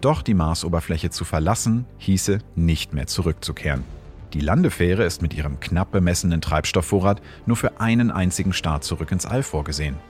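A man narrates a scripted science segment in a very low, measured voice. He explains events carefully. Soft music plays quietly underneath. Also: expressive. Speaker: host and astrophysicist.

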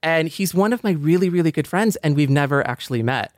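A man's voice: fairly high pitch, expressive, fairly quick, dry recording, clean studio sound. A man chatting casually as a guest, telling things in an emphatic way with repeated words for stress. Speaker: panel guest, former blogger. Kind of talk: casual chat.

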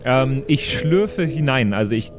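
A man's voice: medium pitch, lively intonation, unhurried, over music. A man playing a tabletop game, chatting casually and hesitantly, often repeating himself. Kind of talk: casual improvised game chat.

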